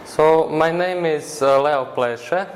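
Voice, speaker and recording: A teenage boy with a Croatian accent answers interview questions earnestly and at a measured pace, his voice sitting a little high. He is a native Croatian speaker, and his phrasing halts now and then. A faint hiss of noise runs underneath. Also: rough recording. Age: teens. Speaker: interview guest.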